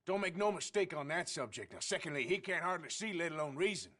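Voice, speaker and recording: An actor playing a tough, sardonic outlaw cowboy in a Western video game, blunt and folksy, with an American drawl. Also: male voice, fairly high pitch, expressive, fast, a bit bright. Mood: dry, menacing.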